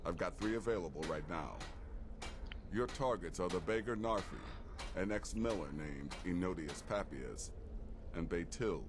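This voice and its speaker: An actor playing a cocky male assassin guild leader in a video game, smooth and condescending, with measured delivery. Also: deep voice, animated, background noise. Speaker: game character assigning contracts.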